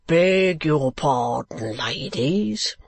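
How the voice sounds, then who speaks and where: A reader voicing a coarse, squat male keeper from a storybook, gruff and blunt, with uneducated speech. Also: mid-range voice, animated, very slow, heavily acted. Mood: blunt, scornful.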